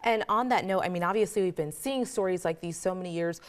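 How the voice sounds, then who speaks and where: A woman anchoring a local morning TV newscast in a studio, reading stories and interviewing a guest in a brisk, clear newscaster manner. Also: on the low side. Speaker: news anchor. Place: TV news studio.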